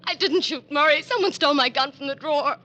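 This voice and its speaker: Bright, defiant young suspect. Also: female voice, high-pitched, quick pace, muffled recording. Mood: pleading. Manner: indignant, then pleading. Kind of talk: 1940s radio drama acting.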